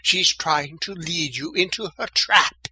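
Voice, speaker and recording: A man acting an excitable, urgent hero in an audio drama, with theatrical exclamations. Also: a little high, unhurried, a little hiss or hum. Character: excitable, loud male robot and urgent time-travelling Doctor.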